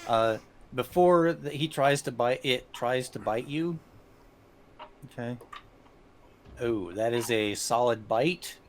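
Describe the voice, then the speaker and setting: A man improvising narration as game master of a tabletop game, halting and often rephrasing mid-sentence. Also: mid-range voice, slight background noise.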